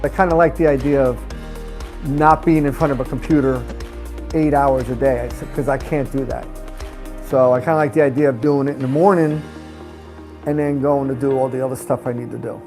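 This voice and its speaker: Mellow older man from New Jersey. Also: mid-range voice, steady tone, brisk, soft background music. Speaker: student, IT worker. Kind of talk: interview testimonial.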